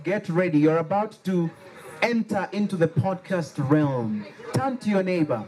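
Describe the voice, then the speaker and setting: Man, Liberian accent, slightly high voice, playful call-and-response workshop leader. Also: slight background noise. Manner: animated, playful, has the audience repeat words. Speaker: workshop facilitator. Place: workshop room with a live audience.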